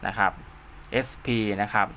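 Bright-voiced man giving a casual hands-on tutorial. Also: normal speed, slight background noise. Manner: casual, repetitive, with polite particles and spoken sound effects. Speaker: host of a DIY radio repair channel. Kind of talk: informal hands-on tutorial, talking while drawing diagrams.

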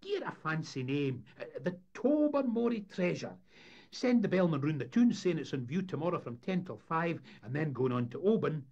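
Storybook role: eager, boastful young Scottish deckhand, played by a man, high, animated showman's patter. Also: moderate pace, vocal fry, muffled recording, full character voice. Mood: scheming, excited. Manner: enthusiastic, salesman-like patter. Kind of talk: storybook character voice in humorous tale.

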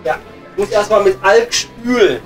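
An actor playing a weary, thirsty coal miner in a pub comedy audio play, grumbling in matey slang with a Ruhr German accent. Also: male voice, sits high, measured pace. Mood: moaning. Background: music.

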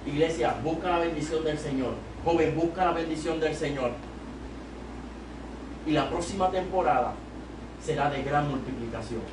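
A man's voice: a little high, normal speed, pauses often. A young man with a Puerto Rican Spanish accent preaching an exhorting sermon at a youth service from a church pulpit, holding a handheld mic. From Puerto Rico. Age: young adult. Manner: exhorting, rising to loud emphatic peaks. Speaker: evangelist preacher. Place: small church sanctuary at the pulpit. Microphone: handheld mic, filmed from a far camera. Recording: echoey church room, far camera, noisy.

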